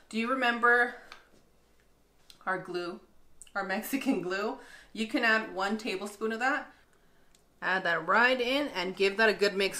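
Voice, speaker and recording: A woman in her thirties hosting a casual cooking demonstration in a bright home kitchen, chatty and upbeat, laughing often. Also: medium pitch. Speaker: cooking show host.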